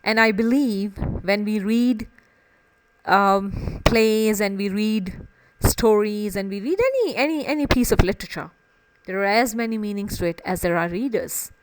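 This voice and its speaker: Lady teacher explaining a play, medium pitch, repeats stuff for emphasis, really dry recording. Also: animated, a little slow, dry recording, poor audio. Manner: emphatic, repeats and rephrases phrases for stress. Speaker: English literature teacher.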